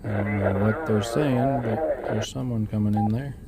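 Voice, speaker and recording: Man muttering through loud background noise. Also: fairly low pitch, moderate pace. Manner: unscripted, muttering to himself while working. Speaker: vlogger demonstrating a DIY vehicle install. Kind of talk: casual hands-on walkthrough. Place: in and around a vehicle. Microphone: handheld camera microphone. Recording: noisy handheld camera, clicks and rattles.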